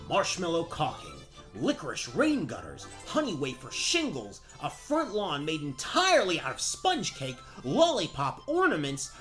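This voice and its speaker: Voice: mid-range voice, a bit bright. A storyteller giving wry, theatrical scripted narration for a comedic fairy tale.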